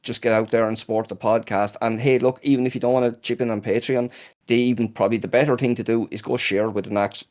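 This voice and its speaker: This is a man talking as a podcast guest in an easygoing, rambling way, full of ums and pauses. His pitch sits right in the middle of the range, neither high nor low. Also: fairly even intonation, darkish tone. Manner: rambling, lots of ums and uhs. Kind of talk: casual chat.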